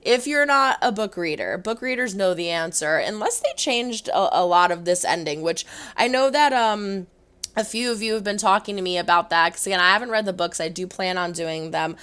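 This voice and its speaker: A woman hosting a casual reaction vlog, chatty and animated, her voice going hoarse. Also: mid-range voice, normal speed. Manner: chatty, animated, rambling with restarts. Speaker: reaction video host.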